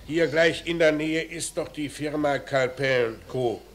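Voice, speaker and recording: An actor playing a dry, probing police commissioner in a German radio crime play, questioning in a terse deadpan way. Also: male voice, a little high, a little slow. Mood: businesslike, wry. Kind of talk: mid-century German radio play acting. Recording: noisy vintage radio drama recording.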